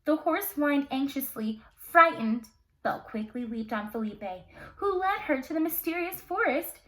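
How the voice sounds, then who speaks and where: Unhurried, high-voiced woman storyteller. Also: rough recording. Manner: expressive, dramatic storytelling. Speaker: storyteller, teacher. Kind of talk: read-aloud children's fairy tale with casual asides.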